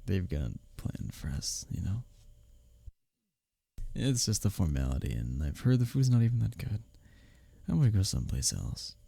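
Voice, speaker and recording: Husky, breathy low-key male lover played by an actor. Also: big pitch swings, no room sound, full character voice. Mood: breathless, flirtatious. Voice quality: breathy, husky, often dropping to a whisper. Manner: halting, hushed, teasing, sighing delivery. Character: smitten male workplace rival, teasing and flustered lover. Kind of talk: intimate romantic roleplay audio, ASMR.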